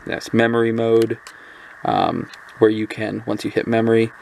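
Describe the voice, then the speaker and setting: A man with a lowish, gravelly voice walks through a small radio in an unscripted review. He rambles a little, filling gaps with ums and restarting sentences as he goes. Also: fairly even intonation, moderate pace, a little mellow, slight background noise. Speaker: product reviewer. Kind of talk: casual hands-on product review.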